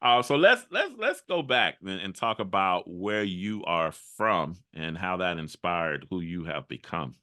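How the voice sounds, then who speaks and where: A man's voice: sits low, big pitch swings, measured pace, few pauses, sharp tone. A man hosting a casual interview, speaking informally and encouragingly with frequent short affirmations. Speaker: podcast interviewer.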